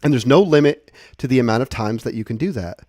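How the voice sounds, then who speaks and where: A man giving a casual, conversational software walkthrough, explaining things step by step. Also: medium pitch, fast, no room sound, studio quality. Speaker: software tutorial presenter.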